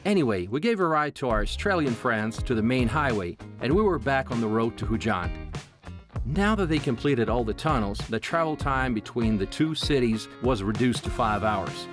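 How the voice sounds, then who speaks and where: Lively male travel narrator. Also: mid-range voice.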